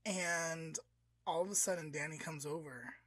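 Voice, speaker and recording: A man co-hosting a podcast, telling a long story in a casual, animated way, full of "like" and acting out voices. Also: fairly high pitch, normal speed, no echo. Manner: animated, filler-heavy, acts out voices. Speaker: podcast co-host.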